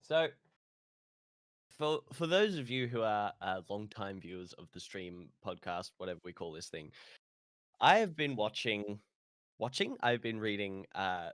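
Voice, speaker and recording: Guy rambling opinions on a podcast stream, very up and down pitch, dry recording. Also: fairly bright tone, no room sound. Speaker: podcast co-host. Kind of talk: casual chat on a livestream podcast.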